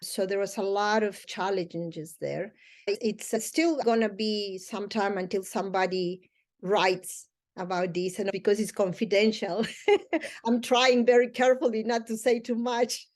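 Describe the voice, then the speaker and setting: A woman's voice: medium pitch, fairly even intonation, unhurried, a little mellow. A woman whose first language is Spanish, a mediator answering interview questions earnestly, with slightly non-native phrasing and frequent light laughter. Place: Australia.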